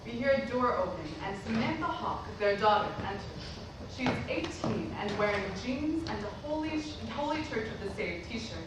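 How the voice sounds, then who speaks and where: A woman's voice: a little high, lively intonation, moderate pace. Woman reading stage directions, echoey hall. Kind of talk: scripted narration at a staged reading. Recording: distant, very echoey hall, noisy.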